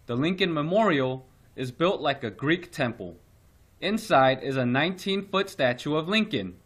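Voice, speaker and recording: A man reading a children's textbook passage aloud slowly and evenly, like a teacher. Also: fairly high pitch, fairly bright tone. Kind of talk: read-aloud narration for children.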